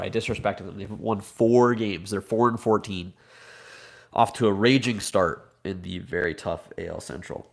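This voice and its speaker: A slightly low-voiced man with a Midwestern American accent runs a live card break. He is a bit congested from a cold, and he talks in a chatty, upbeat way while reading off names and teams. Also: gravelly.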